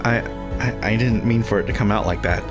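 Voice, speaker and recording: A man voicing an earnest, awkward, stammering young man in an animated fan series. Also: fairly low pitch, fairly quick, lightly acted. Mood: awkward, flustered. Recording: background music under the voice.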